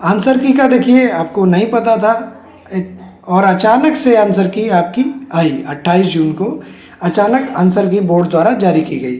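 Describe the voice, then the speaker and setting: A host explains updates to exam candidates in a soft, dark-toned voice. The delivery is reassuring and circles back over the same points. The room adds a clear echo. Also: mid-range voice, unhurried. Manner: repetitive, reassuring. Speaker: host of an exam news channel. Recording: echoey untreated room.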